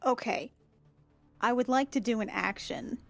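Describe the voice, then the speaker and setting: A woman's voice: fairly high pitch, a little slow, vocal fry, faint music behind. A woman speaking informally and playfully as a player in a tabletop roleplaying game, describing what her character does. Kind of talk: casual in-game chat, describing character's actions.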